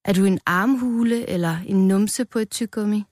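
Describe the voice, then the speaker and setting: A woman's voice: medium pitch, darkish tone, no room sound, studio quality, lightly acted. A woman voicing an old, curious strawberry elder in a children's story, friendly but blunt. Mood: surprised.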